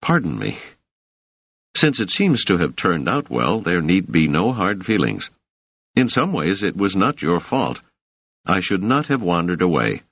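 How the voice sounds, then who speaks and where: A tired male scholar who gets prickly when doubted, as a male audiobook narrator reads him. The voice is very low and plain. It is recorded dry and a little muffled. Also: wildly swooping pitch, a little slow, a bit bright, husky, dry recording, exaggerated voice. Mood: weary. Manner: close to the reader's own voice. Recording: dull, dry, lo-fi sound.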